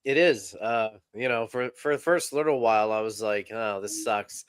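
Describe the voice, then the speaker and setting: A man co-hosting a comedy podcast, telling deadpan jokes with many fillers. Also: mid-range voice, normal speed, clear, resonant tone, no echo. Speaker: podcast co-host. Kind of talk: casual comedy podcast chat.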